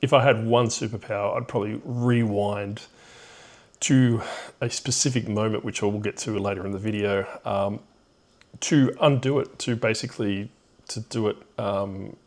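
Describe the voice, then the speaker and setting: Aussie guy, middle aged, kinda deep voice, telling a personal story, hesitant and reflective, bit rueful. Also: steady tone. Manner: hesitant, halting, with rueful chuckles.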